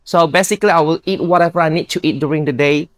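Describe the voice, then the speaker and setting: A woman's voice: sits low, fast talker, no room sound. Upbeat Indonesian-accented woman, dry sound. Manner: upbeat, earnest, non-native grammar. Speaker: guest, bodybuilder. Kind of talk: casual interview chat.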